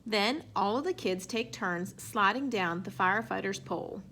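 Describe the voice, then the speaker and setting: A woman reading a children's picture book aloud in an expressive way. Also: medium pitch, moderate pace, slight background noise. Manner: expressive, acts out sound effects and exclamations. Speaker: storybook reader.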